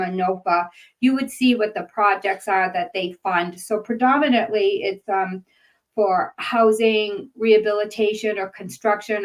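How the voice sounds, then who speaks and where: A woman's voice: medium pitch, rough recording. Older woman, Boston accent, grant-writing instructor, chatty webinar talk, frequent ums. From northern Maine. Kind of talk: webinar presentation. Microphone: webinar microphone.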